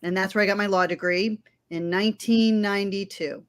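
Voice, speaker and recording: A woman in her fifties, raised in Florida, works as a legal aid attorney. She answers interview questions in a friendly, measured way and fills her pauses with frequent ums. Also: mid-range voice, fairly even intonation. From Florida, United States. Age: 50s.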